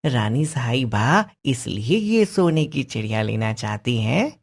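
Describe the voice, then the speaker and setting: A woman plays a clever young boy from a moral-story cartoon. His voice is low, with lively swings of tone. He speaks very slowly and politely, sure of his persuasive wit. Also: exaggerated voice. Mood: sly, persuasive. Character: clever, confident young boy advising a king.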